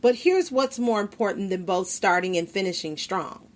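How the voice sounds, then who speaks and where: A woman podcast host reading an article aloud in a steady, plain way, spelling out web addresses. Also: medium pitch, normal speed.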